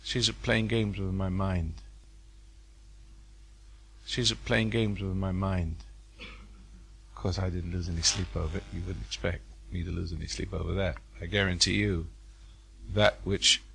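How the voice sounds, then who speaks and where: A man's voice: low-pitched, little pitch movement, a little slow, creaky, pauses often, fairly bright tone, husky. An older man speaking to a live seminar audience in a hotel room, folksy and preacherly, repeating phrases for emphasis.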